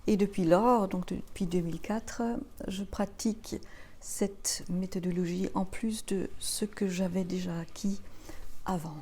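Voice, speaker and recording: A woman in her fifties from Belgium, a native German speaker, answering interview questions as a practitioner in a measured, explanatory way. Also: medium pitch, fairly even intonation, a little mellow. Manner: measured, explanatory, listing her path step by step. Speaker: guest, family constellation practitioner.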